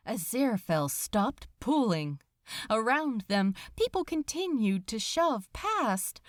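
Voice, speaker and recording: Slow, expressive woman narrating a story. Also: on the high side, dry recording, clean studio sound. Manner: deliberate, expressive reading with pauses. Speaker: narrator of fan fiction audiobook. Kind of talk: dramatic scripted story narration.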